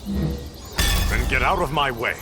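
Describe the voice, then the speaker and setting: A proud video game king of the deep. He speaks in stern, imperious tones at a medium pitch that rises and falls dramatically. Loud music plays behind him. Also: animated, slow. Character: proud, haughty warrior king of an undersea realm.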